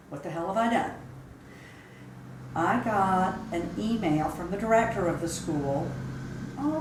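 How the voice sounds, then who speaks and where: A woman in her fifties from South Carolina, recounting her life story in a measured, reflective way during an interview. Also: on the low side, echoey room, a little hiss or hum, poor audio. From Charleston, South Carolina. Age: 50s. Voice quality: measured, reflective, with occasional fillers. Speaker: interview guest.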